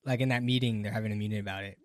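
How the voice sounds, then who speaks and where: A man's voice: on the low side, steady tone, rapid-fire, no echo, clean studio sound. A man in a casual film discussion, retelling scenes with animation and frequent laughter.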